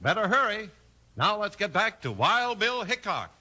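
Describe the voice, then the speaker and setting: A man with an American accent and a husky voice, announcing and narrating in scripted 1950s radio style. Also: high-pitched, sing-song, normal speed, heavy background noise.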